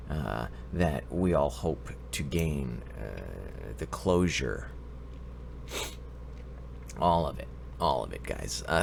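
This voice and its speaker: A low-voiced man with a stuffy nose from a cold hosts a live stream, his pitch swinging up and down a lot as he rambles and cracks jokes. A faint hiss sits behind his voice. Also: vocal fry. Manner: rambling, joking, greets chat viewers by name. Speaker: livestream advice show host. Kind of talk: casual live-stream chat with viewers.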